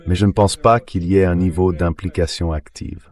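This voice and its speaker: A man with a low voice gives geopolitical commentary as an interview guest. His delivery is measured and analytical, in the synthetic voice of a dubbed translation. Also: steady tone, a crowd in the background.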